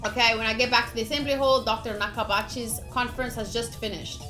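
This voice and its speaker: A woman streamer playfully reading visual novel text aloud, with casual asides in an expressive delivery. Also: a little high, fast, poor audio. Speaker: game streamer. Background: game music.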